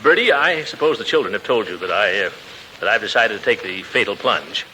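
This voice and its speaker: A man's voice: fairly low pitch, animated, brisk, bright, forceful tone. An actor playing a pompous, hesitant middle-aged uncle in a 1940s radio comedy, hemming and hawing as he talks. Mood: flustered, hearty. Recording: faintly noisy old 1940s radio recording.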